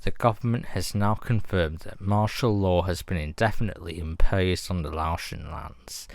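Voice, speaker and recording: A man reading a scripted emergency broadcast announcement in a formal, measured and grave manner. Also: sits low, no room sound.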